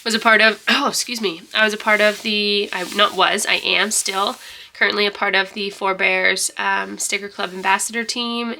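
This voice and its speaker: Stuffy-voiced woman with gravelly fry. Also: medium pitch, sharp tone, a little hiss or hum. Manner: rambling, chatty, many fillers and restarts. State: stuffy, congested from illness. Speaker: journaling vlogger. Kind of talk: casual flip-through narration.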